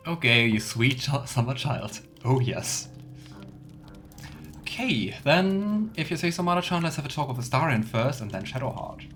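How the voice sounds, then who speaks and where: A mid-pitched man talks his way through a video game as a let's player. He is chatty and playful, drifting into rambling asides, with faint music underneath. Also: sing-song, normal speed, fairly bright tone. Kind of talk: casual game commentary.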